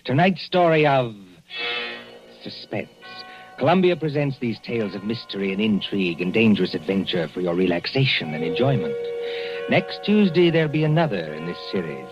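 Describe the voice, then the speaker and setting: A man announcing the closing credits of a 1940s radio show in a measured, formal way. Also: mid-range voice. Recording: dull 1940s radio broadcast. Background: orchestral music.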